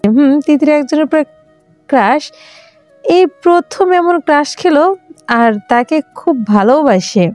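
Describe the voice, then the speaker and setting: A woman's voice: high-pitched, lively intonation, measured pace, long pauses, a little mellow, no echo, soft background music. A woman playing a teasing, outspoken young best friend in a romantic audio story. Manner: teasing, blunt.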